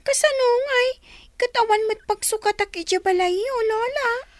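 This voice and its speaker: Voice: very high voice, moderate pace, dry recording, studio quality. A performer acting a small, anxious, questioning grandchild in a radio drama.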